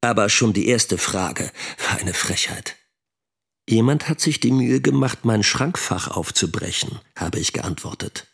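A man playing a sharp, indignant middle-aged father and teacher narrating in a radio drama. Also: lowish voice, expressive, measured pace, creaky, breathy edge, full character voice.

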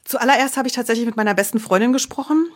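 A woman's voice: fairly high pitch, rapid-fire. Candid, reflective German woman guest. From Germany, Berlin. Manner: reflective, candid, with frequent restarts. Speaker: interview guest. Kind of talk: personal interview.

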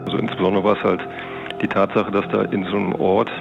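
Very gravelly man, low voice. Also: little pitch movement, fast. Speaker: police investigator recounting a case. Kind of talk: unscripted interview recollection. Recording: dull lo-fi sound, music underneath. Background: music bed.

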